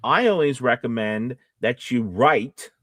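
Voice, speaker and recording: A man's voice: medium pitch, animated, unhurried, fairly bright tone, no room sound, studio quality. A man co-hosting a casual live stream about voiceover work, rambling with false starts as he answers questions. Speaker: co-host, voiceover casting director and coach.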